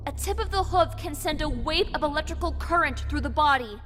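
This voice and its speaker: Woman voicing a high, grave young pony. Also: expressive, a little fast, over music. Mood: grave, composed. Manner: urgent, explanatory, warning. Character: Twilight Sparkle, young magical female pony, composed and grave. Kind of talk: dramatic fan fiction reading.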